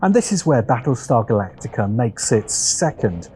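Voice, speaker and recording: A man narrating a scripted video essay as host, in a deliberate, wry, opinionated manner with dramatic pauses and emphasis. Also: medium pitch, big pitch swings, a little mellow, slight background noise.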